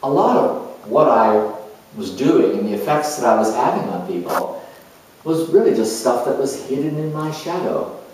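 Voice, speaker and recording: A man playing an earnest, self-assured spiritual coach in a dramatized monologue, reflective and halting, with deliberate pauses. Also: medium pitch, lively intonation, a little mellow, slight background noise, lightly acted. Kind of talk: dramatized monologue as if answering an interview. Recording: distant mic in very echoey room.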